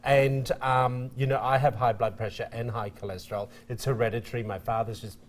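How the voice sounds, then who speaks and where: A man's voice: mid-range voice, steady tone, brisk. A man hosting a morning TV show, chatting casually and teasing himself with easy laughs. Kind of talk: casual studio chat.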